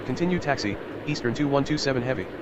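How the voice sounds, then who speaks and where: Actor as male game pilot, very fast clipped delivery, dull radio filter, loud static. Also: mid-range voice, expressive. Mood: businesslike. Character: brisk male airline pilot on air traffic radio. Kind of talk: clipped aviation radio phraseology. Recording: muffled, very noisy aircraft radio.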